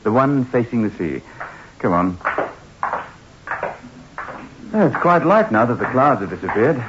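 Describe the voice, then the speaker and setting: A hissy, muffled old radio recording carries an actor as a confident husband. He speaks in lively, theatrical swoops, wordy and teasing, in 1960s drama style. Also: medium pitch, a little fast, natural voice. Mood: affectionate teasing.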